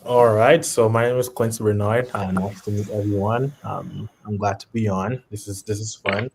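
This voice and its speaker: A man in his late twenties with a Haitian accent, a podcast guest chatting in a relaxed way with frequent ums. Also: lowish voice, normal speed, vocal fry, noisy background. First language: Haitian Creole. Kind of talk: casual chat.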